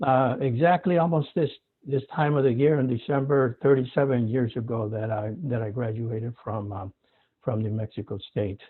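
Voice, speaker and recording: Husky man on a tinny phone-like line. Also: mid-range voice. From United States. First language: Spanish. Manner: repeats words, clears throat often. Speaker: guest speaker. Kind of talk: commencement speech.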